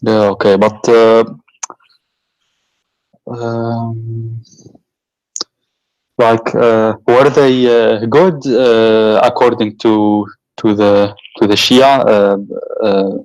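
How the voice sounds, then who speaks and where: A man calling into a live debate, answering hesitantly with many fillers and halting phrases. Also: medium pitch, flat delivery, darkish tone, no room sound, lo-fi sound. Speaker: caller. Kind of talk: call-in debate.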